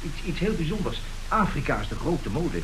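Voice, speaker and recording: Een acteur die in een hoorspel een ijdele, sluwe huwelijkszwendelaar van middelbare leeftijd speelt, theatraal en hoogdravend. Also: male voice, a little high, big pitch swings, a little fast, fairly bright tone, poor audio. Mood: scheming, eager. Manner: theatrical, pompous, declaiming. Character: scheming middle-aged marriage swindler, vain, grandiose, smooth-talking. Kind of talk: Dutch radio play acting. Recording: hissy old radio play recording.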